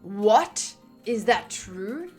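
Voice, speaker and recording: A woman streamer reading game text aloud and reacting out loud with exclamations as she comments. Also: medium pitch, very animated, very slow, soft background music. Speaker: visual novel playthrough streamer.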